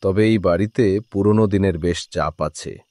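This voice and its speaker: Actor as kindly uncle, cartoon drama, deep voice, conversational, reassuring, firm when needed. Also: male voice, normal speed, mellow, dry recording, studio quality, natural voice. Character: easygoing but firm husband and kindly uncle. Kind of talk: animated horror drama acting.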